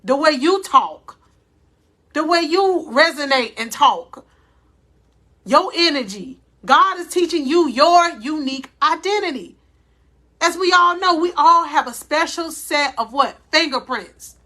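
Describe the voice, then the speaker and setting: Woman coach with a loud bright voice, slow faith pep talk, keeps saying y'all. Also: high voice, lively intonation. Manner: loud voice by her own account; deliberately slowed, addresses listeners as y'all. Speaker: life coach. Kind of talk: faith-based motivational talk.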